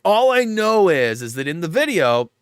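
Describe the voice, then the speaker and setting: A man's voice: sits high, very animated, moderate pace, smooth, no echo, clean studio sound. Rambling man hosting a livestream. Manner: rambling, filler-heavy, lots of "like". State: stuffed up. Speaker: livestream host. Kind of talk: casual livestream chat.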